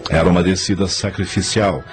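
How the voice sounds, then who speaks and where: Low-voiced male drama narrator over music. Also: steady tone, fairly quick.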